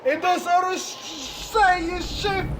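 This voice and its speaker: A stern colonial officer, his voice pushed extremely high. He speaks with formal duty in a period audio drama. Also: slow, a bit bright, a little hiss or hum, heavily acted. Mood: defiant, urgent. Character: stern, dutiful male colonial soldier.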